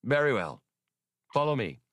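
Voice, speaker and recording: A man's voice: mid-range voice, very animated, normal speed, fairly bright tone, no room sound, clean studio sound, pitched above the performer's own voice. A man voicing a powerful male official who is smug, chatty and condescending, a coldly dangerous audiobook villain. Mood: businesslike, cold.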